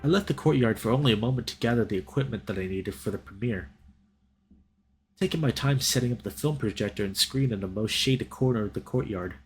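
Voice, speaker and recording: A man's voice: medium pitch, a little hiss or hum. A man narrating a story aloud as a let's play host, sometimes stumbling over a line and rereading it.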